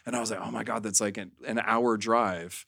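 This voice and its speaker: A man chatting casually as a podcast guest, telling things in a rambling, halting way with lots of filler words. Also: lowish voice, steady tone, vocal fry, sharp tone, no room sound, studio quality. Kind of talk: casual chat.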